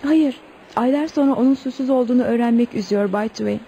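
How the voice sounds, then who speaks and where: A woman's voice: on the high side, quick pace, mellow, muffled recording, slight background noise, close to an everyday voice. An actress playing a sorrowful young Eskimo woman in a radio play, with plaintive, emotive delivery. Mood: wistful, regretful. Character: sorrowful twenty-year-old Eskimo woman, pleading and recounting lost love. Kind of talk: radio theatre acting.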